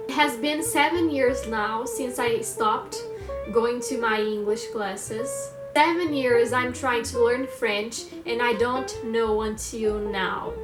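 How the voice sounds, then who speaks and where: A young woman with a Brazilian Portuguese accent talks through her thoughts in an earnest, reflective way, pausing now and then and phrasing things as a non-native speaker would. Her voice sits a little high. The room echoes around her, and plucked guitar music plays clearly behind her. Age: 20s. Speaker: vlogger. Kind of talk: personal monologue vlog. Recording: roomy echo with guitar music.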